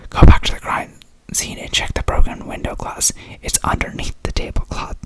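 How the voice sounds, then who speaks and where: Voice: moderate pace, close to an everyday voice. An ASMR creator whispering the lines of a dapper, smug little male detective in a storybook reading.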